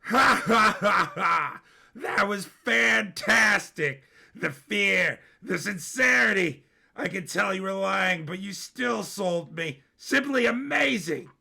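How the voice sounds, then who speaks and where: Man putting on a brash, bright-voiced robber prince. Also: sits high, expressive, slow, creaky, voice pushed up, full character voice. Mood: manic delight. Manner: boisterous, cocky, theatrical. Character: brash, manic royal bastard robber, gleefully theatrical.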